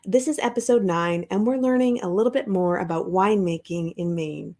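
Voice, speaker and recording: A woman's voice: mid-range voice, fairly quick, dark tone. A woman hosting a podcast, reading a scripted welcome and then chatting with her guests in a friendly, conversational way. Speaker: podcast host.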